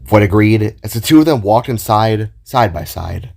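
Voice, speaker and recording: A man's voice: fairly low pitch, lively intonation, moderate pace, vocal fry, darkish tone. A man giving a scripted narration of an audio drama, as its storyteller. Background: music.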